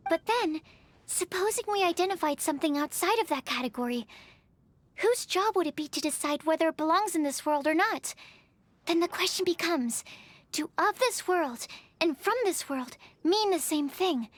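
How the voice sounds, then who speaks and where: A woman's voice: extremely high pitch, lively intonation, normal speed, no echo. A woman playing a shy, studious young female alchemist in a video game, speaking in a hesitant, careful, analytical way. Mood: earnest, pensive. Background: music.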